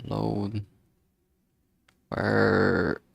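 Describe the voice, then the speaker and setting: A man thinking aloud in a halting way, chatting casually on a solo livestream as he works. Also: on the low side, level tone, vocal fry, breathy edge, no echo. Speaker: streamer making a visual novel. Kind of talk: casual solo livestream chat while working.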